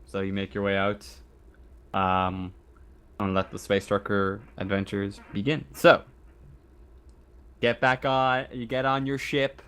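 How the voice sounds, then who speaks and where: A man's voice: on the low side, flat delivery, slow pace, pauses often, fairly bright tone, clean tone. A man running a tabletop roleplaying game, narrating casually and improvising as he goes. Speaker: game master.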